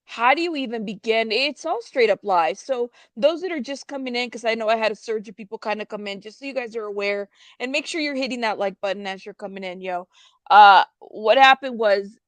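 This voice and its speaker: A woman hosting a casual live news commentary, chatting to viewers in an informal, halting way with emphatic repetition. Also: fairly high pitch, dry recording.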